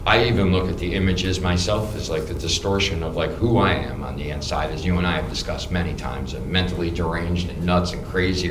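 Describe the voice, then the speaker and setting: A man from New York with an American accent, speaking from the audience at a gallery talk in halting, heartfelt, repetitive remarks. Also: low voice. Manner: halting, repeats words, heartfelt. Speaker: audience member. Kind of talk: casual, rambling remarks to a panel. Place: art gallery during a public talk. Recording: roomy and noisy gallery room.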